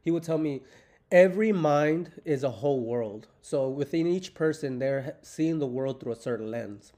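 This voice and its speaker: A relaxed man in his thirties hosts a loose, rambling podcast conversation over a remote call. He swears casually and drifts from thought to thought at an even, middle pitch. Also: moderate pace. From United States. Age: 30s. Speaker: podcast host.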